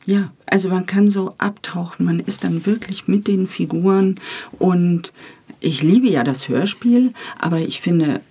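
A woman with a husky voice, talking enthusiastically as a guest in a relaxed interview. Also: lowish voice, measured pace. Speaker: guest, radio drama author, editor and director.

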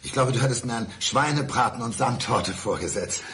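A man's voice: medium pitch, brisk, vocal fry, a bit bright, a little hiss or hum. An actor playing a bitter, brooding blind divorced man who needles his ex-wife in a radio crime drama. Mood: dryly reminiscing. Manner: brooding, sardonic, insistent. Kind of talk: 1990s German radio crime drama acting.

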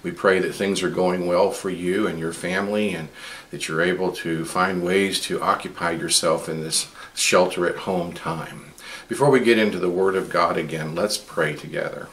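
Deep voiced guy, 50s, leading church prayer from his living room, earnest, repeats himself a bit. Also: fairly even intonation, normal speed, a bit bright, slight background noise. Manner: earnest, repeats words when moved. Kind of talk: spoken prayer and church greeting.

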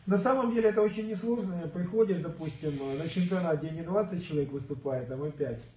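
A man's voice: high voice, quick pace, warm tone, a little hiss or hum. A bodybuilding coach, slightly ill and coughing now and then, telling his story in casual livestream chat. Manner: earnest, storytelling, clears throat and coughs. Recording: echoey room, far from mic, dull.

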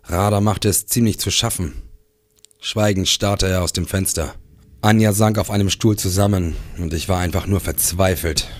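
A man's voice: low voice, steady tone, normal speed, no echo, lightly acted. A man in a crime radio play, playing a driven, probing police detective who also narrates his case. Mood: grave, despairing. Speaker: radio drama actor. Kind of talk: German crime radio play acting, with first-person narration.

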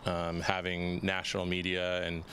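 A low, flat-pitched man with a bright edge to his voice, calmly describing a dish as a chef. Faint outdoor ambience hums behind. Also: normal speed, slight background noise. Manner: matter-of-fact dish description. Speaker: restaurant chef, guest. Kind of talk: live TV interview. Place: outdoor patio set.

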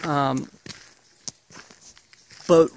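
A man's voice: fairly high pitch, fairly even intonation, extremely slow, slight background noise. Man talking while walking a wooded trail. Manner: casual, rambling, earnest preaching tone. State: getting over an illness. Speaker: ministry leader. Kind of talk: walk-and-talk video update. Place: outdoors on a wooded path. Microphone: handheld camera at arm's length.

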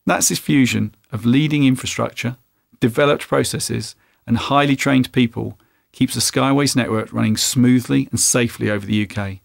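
A man, presenting a scripted explainer in a clear, measured way, addressing the listener directly. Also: mid-range voice, fairly even intonation, dry recording, studio quality. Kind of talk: scripted narration to camera. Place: studio, indoors.

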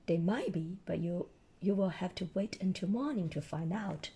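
Hoarse old Santa, acted by a woman reader. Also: fairly low pitch, normal speed, dark tone. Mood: kindly. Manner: kindly, flustered. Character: Santa, kindly flustered old man.

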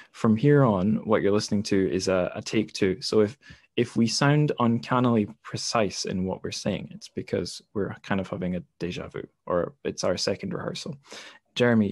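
A man's voice: on the low side, vocal fry, a little mellow, dry recording, studio quality. A man hosting a podcast interview over a video call, casual and hesitant, with many fillers and restarts. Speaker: podcast host. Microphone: home mic on a video call.

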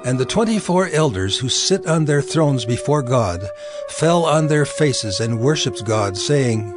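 A man giving solemn, deliberate dramatic narration of scripture. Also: mid-range voice, expressive. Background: loud music.

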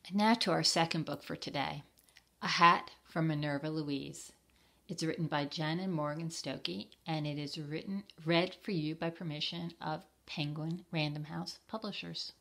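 An older woman reading a picture book to children, deliberate and given to asking them simple questions. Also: sits low, fairly even intonation, gravelly, a bit bright. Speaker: library storytime reader.

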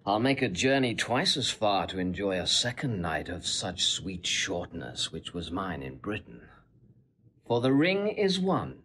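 An actor playing a sly, boastful gentleman seducer in classical Shakespearean audio drama, insinuating and relishing every detail. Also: sits low, very animated, unhurried, slight background noise, full character voice. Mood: taunting. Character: sly, boastful Italian gentleman, smooth-tongued seducer taunting a husband.

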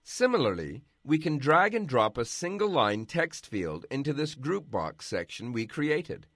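A man giving scripted, measured narration for a software tutorial. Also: medium pitch, big pitch swings, dry recording, clean studio sound. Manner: measured, instructional.

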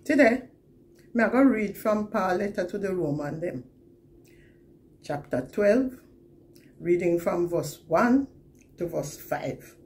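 An older woman with a Jamaican accent reads scripture to camera in a measured, deliberate way. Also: lowish voice, lively intonation, darkish tone. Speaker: scripture reader. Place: plain indoor room, seated.

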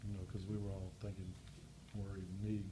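A man's voice: deep voice, completely flat delivery, fairly quick, background noise. A man reporter asking casual, chatty questions at a press conference.

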